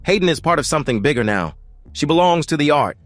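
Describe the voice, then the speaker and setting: A man voicing a calm, smooth-voiced cult leader who speaks down to a worried father. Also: fairly high pitch, fast, dry recording, faint music behind, pitched above the performer's own voice, close to an everyday voice. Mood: calm, amused.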